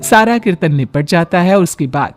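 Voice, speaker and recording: A woman's voice: lowish voice, animated, moderate pace, soft background music. A woman giving scripted storytelling narration for a Hindi family cartoon.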